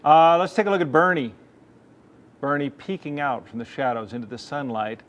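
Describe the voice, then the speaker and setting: American male morning anchor, higher pitched. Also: lively intonation, normal speed. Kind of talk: news reading and casual banter.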